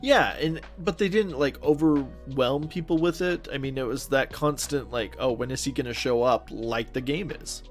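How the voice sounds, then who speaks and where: A man's voice: mid-range voice, normal speed, hardly any pauses. A man co-hosting a podcast, talking casually in an informal, slangy and agreeable way. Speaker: podcast co-host. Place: recorded remotely, separate from co-host. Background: background music.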